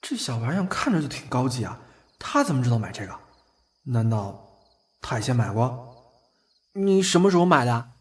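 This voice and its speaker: A man's voice: medium pitch, big pitch swings, normal speed, long pauses, lightly acted. A man acting a bashful, cheeky young man who stammers and gets flustered with his older boyfriend in a Chinese audio drama. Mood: embarrassed. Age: young adult. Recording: loud background music.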